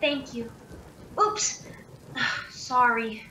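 A woman's voice: fairly high pitch, sing-song, drawn-out words, sharp tone, exaggerated voice. A young woman acting as an anxious, caring young woman keeping night watch in a radio play. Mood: flustered. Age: young adult. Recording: roomy, some background noise.

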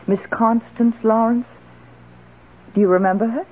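An actress playing a genteel, well-to-do middle-aged widow in a radio drama, gracious and expressive. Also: female voice, a little high, a little slow, slight background noise, lightly acted. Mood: gracious, cheerful. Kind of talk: old-time radio drama acting. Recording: muffled, band-limited old radio broadcast.

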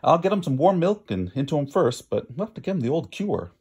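A man reading a storybook, voicing a kindly, fussing farmer's wife who exclaims in drawn-out concern. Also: fairly high pitch, very animated, a little mellow, breathy edge, pitched above the performer's own voice, exaggerated voice. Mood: brisk, cheerful.

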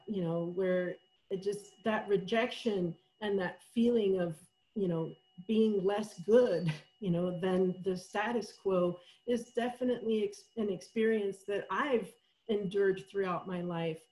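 A woman's voice: medium pitch, fairly even intonation, measured pace, darkish tone, low-quality recording. A woman from El Salvador presents a college webinar over a computer microphone on a video call. She speaks thoughtfully with many small fillers and restarts, often turning statements into questions with "right?". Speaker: college professor presenting survey findings.

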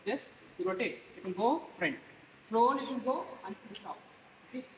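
A man's voice: sits high, animated, a little fast, slight background noise. Indian man teaching in an echoey workshop. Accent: Indian English. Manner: quizzes students with rhetorical questions. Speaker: instructor. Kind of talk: classroom demonstration. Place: large open workshop hall with students.

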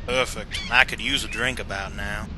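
A man's voice: fairly low pitch, steady tone, normal speed, very noisy, close to an everyday voice. A man playing a brash, sardonic 1950s American husband in an amateur radio play. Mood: wry. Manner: sardonic, breezy. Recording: busy outdoor crowd and music behind.

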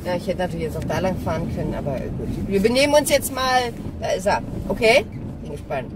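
A woman's voice: lowish voice, lively intonation, fairly quick. A woman, talking casually and loosely in an informal vlog recorded in a car. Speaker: vlogger. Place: inside a small car. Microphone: dashboard camera at arm's length. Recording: loud car noise.

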